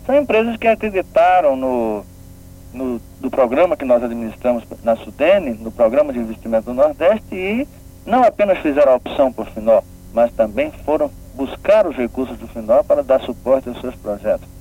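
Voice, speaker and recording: A man's voice: medium pitch, expressive, brisk. Didactic northeastern Brazilian man, phone-like sound. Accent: northeastern Brazilian, from Pernambuco. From Pernambuco, northeastern Brazil. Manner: didactic, checks with tag questions. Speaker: guest, regional development agency official. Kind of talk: radio interview, explanatory talk. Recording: narrow phone-like band, faint noise.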